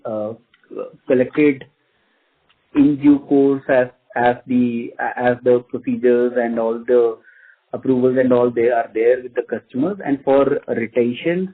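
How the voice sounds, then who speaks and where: Husky Indian man on a phone line. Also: medium pitch. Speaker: company finance executive on an earnings call. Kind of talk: answering investor questions on a conference call. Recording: muffled narrow phone-line audio.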